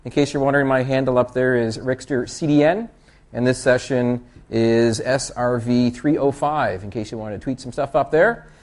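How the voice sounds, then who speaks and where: A man's voice: medium pitch, steady tone, normal speed, a little mellow. A man with a Canadian accent from the East Coast giving a chatty, joking conference talk full of rambling, self-deprecating asides. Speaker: conference presenter.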